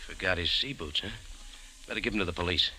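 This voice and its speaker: An actor playing a cool, wry American café owner and sleuth, laconic and deadpan in 1940s radio drama style. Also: male voice, sits low, fast talker, low-quality recording. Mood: wry, casual. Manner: laconic, hard-boiled, deadpan. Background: music.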